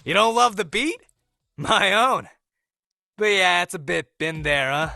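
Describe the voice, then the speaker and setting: High, creaky party-bro incubus. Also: male voice, animated, unhurried, sharp tone, soft background music, exaggerated voice. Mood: flirty, brash. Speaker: video game romance character. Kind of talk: scripted game dialogue, slangy.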